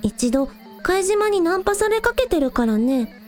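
A frank, caring village idol girl voiced by a woman talks in a very high voice. The delivery is energetic, anime-like radio drama. Faint music sits behind it. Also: normal speed. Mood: concerned.

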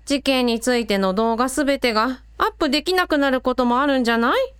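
A woman's voice: high voice, expressive, fast talker, a bit bright, smooth, no echo, clean studio sound. A woman playing a sensible, caring, shrewd mother in a radio drama. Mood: thoughtful. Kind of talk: Japanese radio drama acting.

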